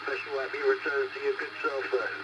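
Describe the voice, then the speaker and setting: A man's voice: mid-range voice, level tone, fairly quick. A middle-aged British man chatting casually and in a friendly way over a CB radio, often repeating his phrases. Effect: CB radio transmission. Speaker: CB radio caller. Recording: dull, very noisy, distant CB reception.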